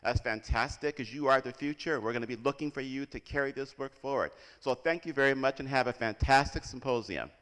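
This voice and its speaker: A man at a middle pitch gives short, encouraging welcome remarks to open a conference. His voice rings with the long reverb of a large hall. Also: a little fast, few pauses. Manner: warm, encouraging. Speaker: welcoming official.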